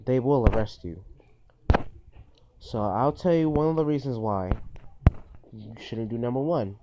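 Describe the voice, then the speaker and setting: A man giving informal list-style advice as a video host, in an earnest, cautionary manner with repetitive phrasing. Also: mid-range voice. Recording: dull, lo-fi phone recording.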